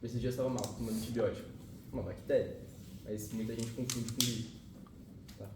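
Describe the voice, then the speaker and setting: A man's voice: mid-range voice, steady tone, fairly quick, distant mic. A male biology teacher, giving a casual, slangy classroom lesson with occasional swearing and frequent check-ins with the students. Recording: echoey classroom picked up from afar, noisy.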